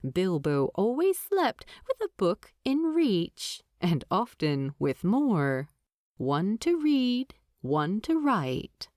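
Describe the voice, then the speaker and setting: A woman narrating fiction in an audiobook style, with unhurried, expressive and deliberate phrasing. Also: mid-range voice, no room sound, studio quality.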